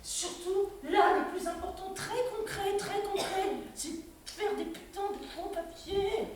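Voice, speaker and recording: A woman's voice: very high voice, steady tone, measured pace, a little hiss or hum. An actress in a live improv show playing an anxious female activist who talks urgently and repeats herself. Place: theatre stage. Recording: echoey stage from a distance.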